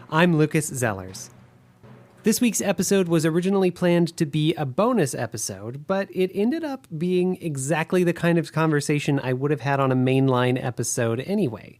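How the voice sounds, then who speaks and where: A man hosting a podcast, talking with enthusiasm in both introductions and conversation. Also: on the high side. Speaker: podcast host. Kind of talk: scripted podcast introduction and casual interview talk.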